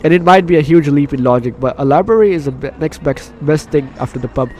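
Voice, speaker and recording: A man's voice: on the high side, fast, a little mellow. A man hosting a casual let's play, reading game text aloud with commentary and often stumbling over and repeating phrases. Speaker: gaming video host.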